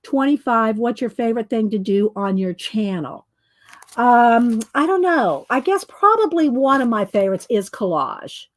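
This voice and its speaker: A woman's voice: fairly high pitch, lively intonation, normal speed, no echo. A middle-aged woman, chatting while making art as host of her crafting channel, rambling, interrupting herself, and laughing often. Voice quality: loud voice. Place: home art studio.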